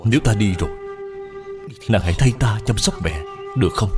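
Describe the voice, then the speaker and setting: Animated role played by a man: sick devoted husband, low tender voice, resigned and earnest, clear music behind. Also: fairly quick, natural voice. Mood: tender pleading. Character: ailing, gentle young husband, resigned and loving. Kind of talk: animated film dubbing. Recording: prominent music under the voice.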